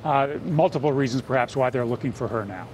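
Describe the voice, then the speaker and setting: A man giving a live unscripted news report in a measured, halting way, pausing to pick his words. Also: mid-range voice, darkish tone, slight background noise, poor audio.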